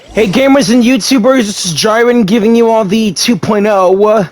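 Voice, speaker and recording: A woman's voice: fairly high pitch, normal speed, darkish tone. A woman hosting a casual game playthrough, talking in an informal, offhand way as she reads along and comments. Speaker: gaming video host. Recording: faint game music behind.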